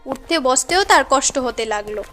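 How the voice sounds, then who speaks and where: A woman's voice: sits high, expressive, normal speed, fairly bright tone, faint music behind. A woman reading scripted storybook narration for a cartoon tale.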